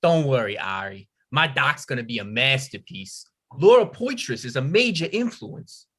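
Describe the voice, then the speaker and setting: A man's voice: mid-range voice, very animated, moderate pace, fairly bright tone. A man reading the part of a laid-back, self-important amateur filmmaker buddy at a comedy table read. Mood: breezy, self-assured.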